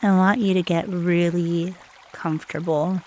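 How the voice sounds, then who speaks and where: A woman leading a guided meditation in a soothing, unhurried way, leaving long pauses between short phrases. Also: lowish voice, fairly even intonation, dark tone, a little hiss or hum.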